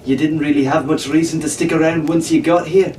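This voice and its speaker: A man's voice: a little high, steady tone, fairly quick, close to an everyday voice. Man voicing a feisty young girl, echoey. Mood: soft, bitter. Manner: blunt, muttering, soft-hearted underneath. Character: feisty, sharp-tongued teenage girl adventurer. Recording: echoey room, distant mic, faint hiss.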